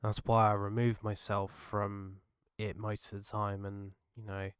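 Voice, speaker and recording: Gravelly British man, earnest podcast monologue. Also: lowish voice, level tone, no echo, studio quality. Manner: earnest, halting, restarts mid-thought. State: gravelly from a cold. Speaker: podcast host. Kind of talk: solo reflective podcast monologue.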